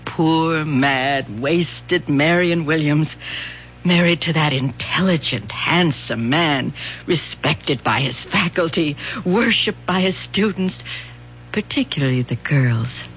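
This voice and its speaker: A woman's voice: low voice, slow pace. An actress playing a wounded, possessive middle-aged wife and mother in a melodramatic radio play, brooding and sarcastic. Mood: bitter. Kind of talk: old-time radio drama acting. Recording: dull lo-fi radio recording, faint hum.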